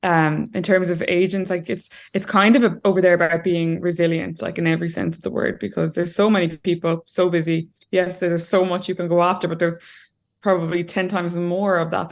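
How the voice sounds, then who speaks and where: A young Irish woman talking as an interview guest over a video call from a room at home, chatty and full of fillers. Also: lowish voice. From Ireland. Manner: chatty, lots of "like" and "you know". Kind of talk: casual interview talk.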